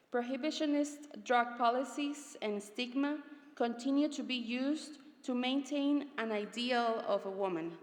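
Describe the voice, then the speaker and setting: Woman, Guatemalan Spanish accent, high pitch, measured solemn speech, echoey conference hall. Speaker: activist speaker. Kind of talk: prepared speech read at a conference. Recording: echoey hall with long reverb.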